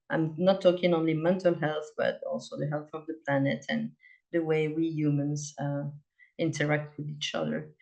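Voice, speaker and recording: A woman from Belgium with a Belgian French accent, giving a friendly online presentation over a video call. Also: fairly low pitch. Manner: friendly, light laughs between points. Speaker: guest speaker. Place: home in Portugal.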